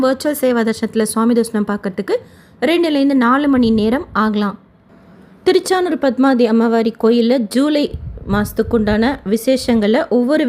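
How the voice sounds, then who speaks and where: A woman hosting an informal news update, informative and mixing English words into her speech. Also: high voice. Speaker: channel host giving pilgrimage updates.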